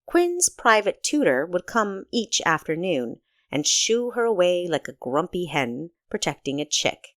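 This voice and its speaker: A woman reading a story aloud as narrator in an expressive, scripted storytelling manner. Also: fairly low pitch, a little slow, few pauses, no room sound, clean studio sound. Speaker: author narrating own audiobook.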